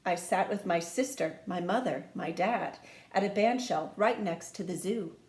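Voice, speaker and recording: A woman reading a children's storybook aloud in an expressive, sing-song storytime manner, speaking to young listeners like a teacher. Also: lowish voice, unhurried. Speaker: music teacher reading a picture book to children. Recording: echoey room.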